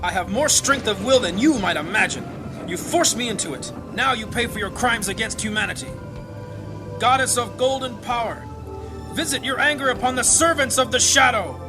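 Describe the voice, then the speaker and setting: An actor playing a righteous, vengeful priest in a fantasy audio drama, intense, defiant and declamatory. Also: male voice, very high voice, moderate pace, music in the background. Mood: defiant anger.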